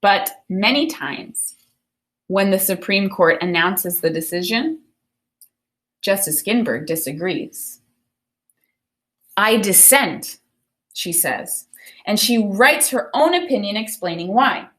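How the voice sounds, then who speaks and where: A woman teacher reading a picture book aloud and pausing to explain it, often checking for understanding. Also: medium pitch, expressive, fairly bright tone.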